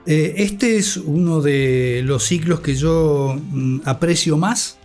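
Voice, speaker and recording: An older man with an Argentine accent giving a reflective interview, articulate, with thoughtful halting pauses. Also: a little high, a little mellow, faint music behind. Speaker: interview guest, radio academic.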